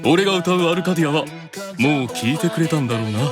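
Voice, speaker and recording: A man's voice: on the high side, expressive, quick pace, close to an everyday voice. A man playing a haughty, commanding male demon, reading a promotional announcement in character with an imperious delivery. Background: clear music bed.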